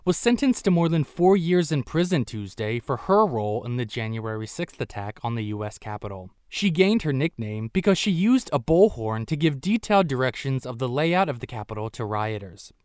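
Smooth, animated American male news reporter. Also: mid-range voice, no room sound, studio quality. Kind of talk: scripted radio news report.

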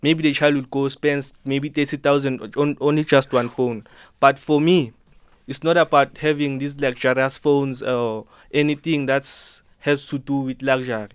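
A man's voice: mid-range voice, steady tone, moderate pace, smooth, no room sound. An eighteen-year-old man, a dancer, answering politely and earnestly in a radio studio, often saying ma'am. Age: teens. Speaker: dancer and fashion subculture member.

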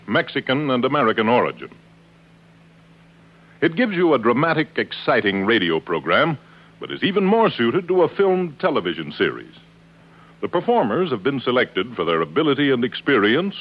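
Male 1950s announcer, polished scripted pitch, lively swooping intonation, muffled period recording. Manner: polished, declamatory. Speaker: announcer pitching a program to advertisers. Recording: dull 1950s radio recording.

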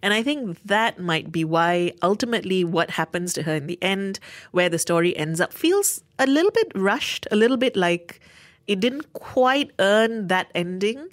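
A woman's voice: medium pitch, animated, moderate pace, no echo, studio quality. A woman talking through her thoughts on a stage play in a casual review chat, thoughtful and articulate. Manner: thoughtful, articulate, searching for words. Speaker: theatre reviewer.

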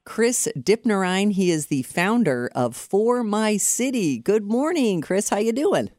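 A woman's voice: medium pitch, big pitch swings, measured pace, a little mellow, no room sound. Woman hosting a radio interview, dry sound. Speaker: radio show host. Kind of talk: broadcast interview, conversational. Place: radio broadcast.

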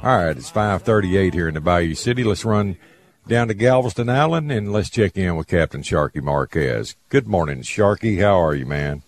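A man's voice: low voice, animated, normal speed, faint music behind. A man, hosting a radio show in an easygoing, folksy way, a little stuffy with a sore throat. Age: 70s. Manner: easygoing, folksy, laughs often. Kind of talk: casual radio chat.

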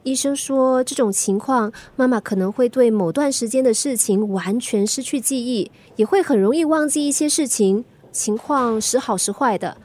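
A woman's voice: a little high, moderate pace, few pauses, darkish tone, natural voice. An actress playing a worried, nagging teenage schoolgirl in a radio drama, speaking with a Singaporean accent. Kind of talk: Mandarin radio drama acting.

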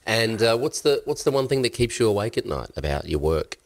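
A man's voice: fairly low pitch, sing-song, fairly quick, gravelly. A man hosting an interview, sounding nasal while he recovers from a cold, asking questions with hesitant restarts. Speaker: podcast host.

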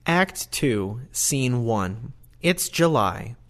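A man's voice: medium pitch, very animated, very slow, fairly bright tone. A man narrating an audio play, reading out scripted stage directions and scene changes.

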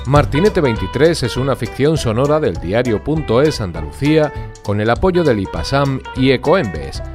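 A male announcer delivers scripted narration. His intonation is animated and varied. Plucked guitar music plays clearly behind him. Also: medium pitch, moderate pace.

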